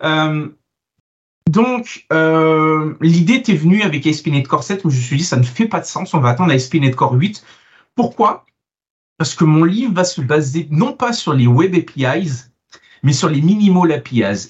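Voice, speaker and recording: A man born in France and now living in Canada, a software architect being interviewed about his book, talking casually with many fillers and restarts. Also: fairly high pitch. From Italian, born in France, living in Canada. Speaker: guest author, software architect. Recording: dull lo-fi sound.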